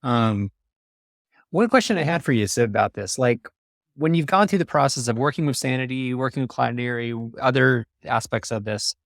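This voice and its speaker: A man with a creaky, fry-laden voice at a middle pitch hosts a relaxed interview. He speaks informally and agrees readily, recorded very dry. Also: normal speed, no room sound. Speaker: show host interviewing guests. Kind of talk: casual conversational interviewing.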